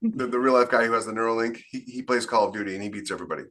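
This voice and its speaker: Bright, forceful man chatting informally. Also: mid-range voice, very fast. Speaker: podcast co-host and author. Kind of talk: casual chat.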